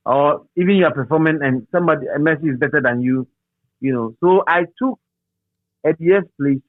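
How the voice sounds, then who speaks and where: A middle-aged Ghanaian man reminiscing as an interview guest in a halting, repetitive, animated storytelling manner. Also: fairly high pitch, no echo. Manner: halting, repeats words and phrases, animated storyteller. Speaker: interview guest, radio DJ and presenter. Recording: thin phone-like sound.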